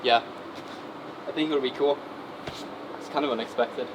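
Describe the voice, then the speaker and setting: A man's voice: medium pitch, steady tone, moderate pace, heavy background noise. A man setting a climbing route, talking casually while he works and fighting off a cold, thinking aloud with plenty of ums and likes. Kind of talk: casual vlog narration while working. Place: indoor climbing gym.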